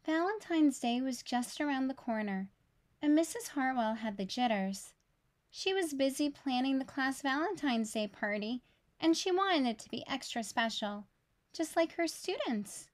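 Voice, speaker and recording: A woman reading a children's picture book aloud in an expressive storytelling style. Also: high voice, normal speed.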